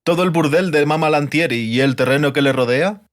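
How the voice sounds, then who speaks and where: A man's voice: on the high side, fairly quick, pitched above the performer's own voice, lightly acted. A man voicing a haughty, sarcastic bard in an audiobook, with a dry and pompous manner. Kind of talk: audiobook character voice.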